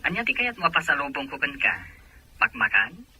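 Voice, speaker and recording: A man's voice: a little high, very animated, brisk, sharp tone, husky, exaggerated voice, low-quality recording. A man with an Ilocano accent acting a sociable, teasing husband and friend in an audio drama. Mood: affectionate.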